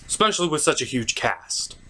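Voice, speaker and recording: A man's voice: on the high side, very animated, brisk, sharp tone. A man hosting a scripted comedic review with skits, with a theatrical, exasperated delivery. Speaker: comic reviewer and host.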